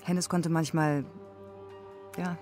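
An actor playing a loyal, defensive friend who explains and hedges in a witness account in a radio drama. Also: male voice, a little high, flat delivery, takes it slowly, darkish tone, soft background music. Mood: explaining. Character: loyal, defensive male friend explaining away a buddy's antics. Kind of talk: naturalistic radio drama testimony.

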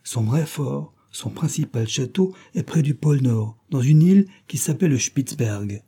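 A man's voice: medium pitch, lively intonation, moderate pace, warm tone, breathy edge, voice pushed up. A man voicing a young reindeer who talks about his snowy homeland in a wistful, eager way.

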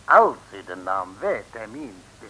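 A menacing government agent in an old radio play, threatening with measured, formal courtesy. The voice has a bright, hard edge and comes through faint hiss. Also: male voice, lowish voice, very animated, exaggerated voice. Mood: cold menace. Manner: measured, coldly polite, threatening. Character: menacing, formal male agent of a foreign regime. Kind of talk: 1950s Dutch radio serial acting. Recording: hissy, noisy old radio recording.

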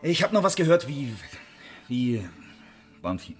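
An actor playing a reluctant, defensive spy under interrogation in a radio drama, with a mid-pitched voice. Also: male voice, very animated, measured pace, soft background music. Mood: reluctant, cowed.

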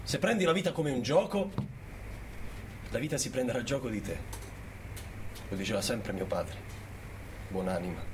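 An actor playing a pompous, self-righteous conservative business owner, sententious and rhetorical, in a satirical theatre monologue. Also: male voice, mid-range voice, lively intonation, normal speed, long pauses, noisy background, lightly acted. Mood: sententious, solemn.

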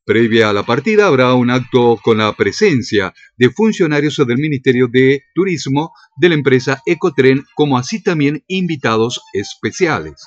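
A man's voice: mid-range voice, lively intonation, normal speed, few pauses. An Argentine man reading a scripted local radio news bulletin with time and weather. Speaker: radio newsreader. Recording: dull lo-fi radio sound.

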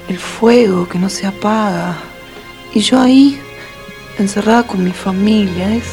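Actress as sly, polite young lady, husky creaky voice, Argentine accent, theatrical radio comedy, soft music underneath. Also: mid-range voice. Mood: plaintive, grateful. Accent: Argentine, Rioplatense. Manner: dramatic, gushingly polite. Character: sweetly polite, suffering young woman, slyly evasive. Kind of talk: comic radio play acting.

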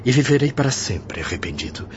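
A man's voice: medium pitch, big pitch swings, brisk, close to an everyday voice. A man acting a remorseful, fearful spirit in a radio drama, with an anguished, dramatic delivery. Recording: dull lo-fi audio with noise.

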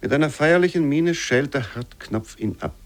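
An actor playing a solemn reciter who reads old literary prose deliberately for a radio play. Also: male voice, on the high side, lively intonation, close to an everyday voice. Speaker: reader of literary quotations.

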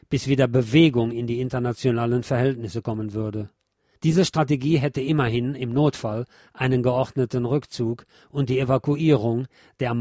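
A man reading scripted historical documentary narration in a formal, measured manner. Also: medium pitch, a little mellow, no room sound, clean studio sound. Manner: formal, measured read delivery.

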